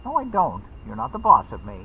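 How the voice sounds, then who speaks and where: A man's voice: on the high side, wildly swooping pitch, brisk, creaky, slightly rough, full character voice. A man playing a petulant, childish president-elect in a comedy audio drama, sulking as if muffled behind a door. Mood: sulky, defiant. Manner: sulky, stubborn. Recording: thin, band-limited, faint noise.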